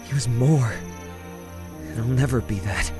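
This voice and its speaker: A man's voice: medium pitch, measured pace, natural voice. Young prince played by a man for audio drama: casual, hesitant, self-doubting, medium register, light music underneath. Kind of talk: audio drama acting and first-person narration.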